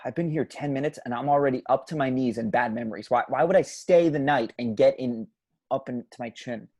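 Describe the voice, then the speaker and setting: A man's voice: mid-range voice, fairly quick, a little mellow, close to an everyday voice. A man playing a bitter, frustrated young man in an amateur table read of a film script.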